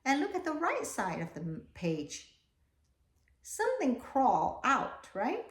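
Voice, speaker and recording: A woman reading a children's picture book aloud expressively, often pausing to ask her young listeners questions. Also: high-pitched, wildly swooping pitch. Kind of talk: children's read-aloud, alternating slow reading with asides about the pictures. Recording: echoey, roomy sound.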